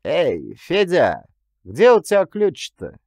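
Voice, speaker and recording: A man's voice: a little high, huge pitch swings, slow pace, creaky, darkish tone, no echo, clean studio sound, voice pushed up, exaggerated voice. Man acting a bossy, grumpy village clerk. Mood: commanding. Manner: grumbling and commanding.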